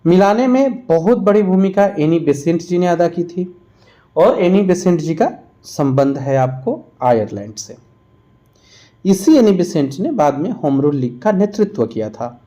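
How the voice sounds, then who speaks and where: A man's voice: high voice, very animated, measured pace, warm tone. A man narrating a quiz-style lesson as a teacher, explaining and repeating answers for emphasis. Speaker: exam-prep history teacher.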